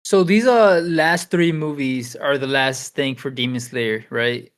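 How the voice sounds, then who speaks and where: A man's voice: mid-range voice, a little slow. A man in his late twenties co-hosting a podcast, loose and casual, swearing freely and calling others dude. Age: 20s. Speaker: podcast co-host. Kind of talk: casual chat.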